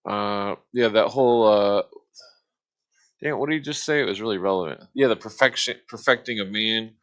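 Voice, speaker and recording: A man hosts a casual livestream with a sharp, forceful edge to his fairly low voice. He talks haltingly and informally, circling back on his words and swearing freely. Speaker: livestream host.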